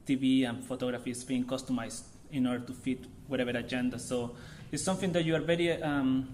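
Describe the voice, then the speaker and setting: A man from Chile in his thirties with a Chilean accent, giving an informal artist talk to an audience in a halting way, searching for words. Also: mid-range voice, fairly even intonation, a little mellow, a little hiss or hum. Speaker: visiting artist.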